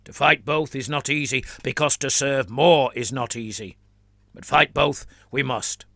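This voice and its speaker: A man's voice: mid-range voice, measured pace, creaky, bright, forceful tone, dry recording, clean studio sound. Man voicing a fanatical flagellant captain. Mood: fervent. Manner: fervent, declaiming. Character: gaunt fanatical male flagellant captain, fervent.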